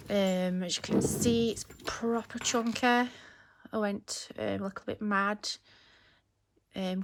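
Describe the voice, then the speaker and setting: A woman giving a casual, unscripted show-and-tell in a chatty, halting way, her voice slightly strained as if starting to go. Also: mid-range voice. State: slightly losing her voice, clearing throat. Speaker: crafter presenting her handmade journal.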